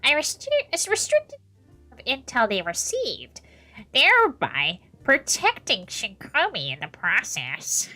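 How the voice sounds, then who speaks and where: Woman acting as gleefully mocking male official, sharp bright tone, swooping pitch, drawn-out words, visual novel. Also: huge pitch swings, faint music behind, exaggerated voice, rough recording. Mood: mock regret. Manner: playful mock-polite, drawn-out emphasis. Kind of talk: visual novel character reading.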